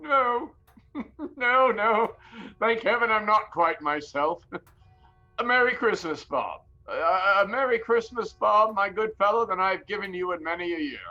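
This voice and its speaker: High, blustering old miser. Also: male voice, measured pace, lo-fi sound. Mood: giddy, jovial. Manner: blustering, exclamatory, repeats words excitedly. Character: reformed old miser boss, feigning sternness, then giddily jovial. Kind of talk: theatrical audio drama acting.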